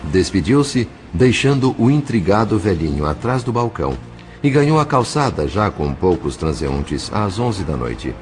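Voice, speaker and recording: A man with a Brazilian accent narrating an audio novel in a measured, solemn, literary way. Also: deep voice, animated, darkish tone, faint music behind. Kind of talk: dramatic scripted narration.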